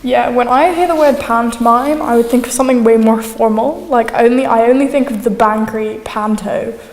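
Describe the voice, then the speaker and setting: Creaky, higher-voiced woman in echoey hall. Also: fairly quick, a little mellow. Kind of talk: casual interview talk.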